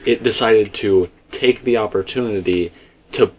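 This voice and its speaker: A man's voice: fairly low pitch, unhurried, a little hiss or hum, rough recording. A man talking casually and speculatively as a host, emphatic and rambling, often repeating himself. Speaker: video game theorist and host.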